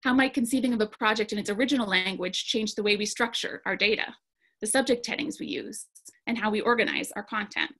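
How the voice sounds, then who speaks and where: A woman's voice: mid-range voice, fast talker, a bit bright. A woman presenting her slides to an online conference panel. She speaks earnestly, with frequent fillers and restarts.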